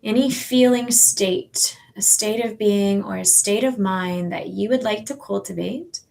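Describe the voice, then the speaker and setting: A woman leading a guided meditation as a yoga teacher, speaking in a soothing, measured way with long pauses. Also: mid-range voice, creaky, a little mellow, poor audio. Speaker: yoga nidra and sound healing teacher.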